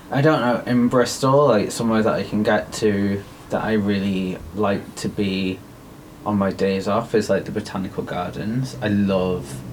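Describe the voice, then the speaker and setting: A young man, co-hosting a podcast and talking slowly with many ums and likes. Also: on the low side, a little hiss or hum, low-quality recording. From Wigan, England. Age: young adult. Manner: slow, thoughtful, filler-heavy. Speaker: podcast co-host. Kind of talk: casual chat.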